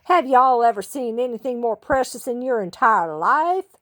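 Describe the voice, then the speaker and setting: A woman's voice: fairly high pitch, lively intonation, moderate pace, fairly bright tone. A woman voicing a gushing Southern lady with a drawling Texan accent in a storybook reading.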